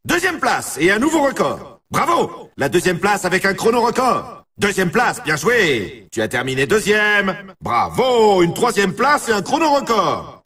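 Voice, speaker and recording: A man voicing an excitable, brash announcer for an arcade snowboard racing video game, with punchy, showy, emphatic delivery. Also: high voice, husky, distant mic, heavily acted. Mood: hyped, congratulatory.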